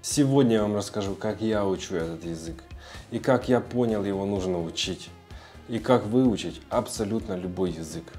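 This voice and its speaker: A man's voice: lowish voice, dark tone. A man in his thirties giving a casual, blunt, informal tutorial as a video blogger. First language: Russian. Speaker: video blogger sharing language-learning tips.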